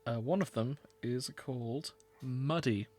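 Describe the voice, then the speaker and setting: A man's voice: medium pitch, measured pace, darkish tone. A man co-hosting a podcast in casual comedic chat, with a dry, matter-of-fact manner. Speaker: podcast co-host.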